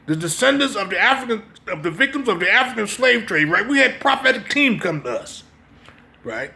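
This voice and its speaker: High-pitched, gravelly American man preaching emphatically. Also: sing-song, brisk, bright, forceful tone. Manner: emphatic, exhortative, repeatedly checking listeners understand. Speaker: religious teacher. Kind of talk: impromptu sermon with brief reading.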